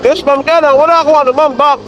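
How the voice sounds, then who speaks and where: A man's voice: very high voice, fairly even intonation, fast, muffled recording, crowd noise. A Filipino man chatting casually and playfully as a motorcycle rider vlogger, teasing and joking, speaking into a helmet intercom. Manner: teasing, jokey. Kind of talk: casual playful chat with a passenger. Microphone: helmet intercom headset. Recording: music behind the voice. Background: music.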